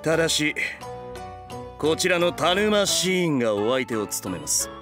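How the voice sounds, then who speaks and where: A strict, formal retainer in an anime comedy. He is curt and businesslike yet exasperated, his pitch leaping widely over faint background music. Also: big pitch swings, unhurried. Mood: brisk, resigned. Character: stern, workaholic male retainer, exasperated and formal. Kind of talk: anime voice drama comedy acting.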